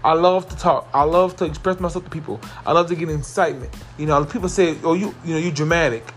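A man hosting his own motivational podcast, his fairly high voice carrying well as he repeats his points with rising excitement. Faint music plays underneath him. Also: quick pace. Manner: earnest, repetitive, building to excited emphasis. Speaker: podcast host. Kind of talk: motivational solo podcast monologue.

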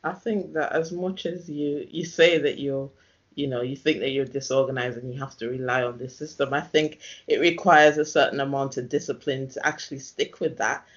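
A man's voice: medium pitch, fairly even intonation, moderate pace, fairly bright tone, dull audio. A man hosting a casual interview, reflecting and asking questions in tentative, meandering phrases. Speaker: interviewer, host.

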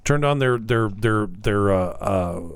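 A man's voice: on the low side, lively intonation, takes it slowly, clear, resonant tone, dry recording. A man co-hosting a podcast, chatting casually and rambling enthusiastically with frequent restarts. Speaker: podcast co-host. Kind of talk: casual chat.

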